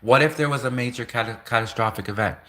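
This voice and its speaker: Bright-voiced man, native Russian speaker. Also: mid-range voice, fast talker. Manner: earnest, rambling, repeats phrases for emphasis. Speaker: livestream host. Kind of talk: casual livestream monologue.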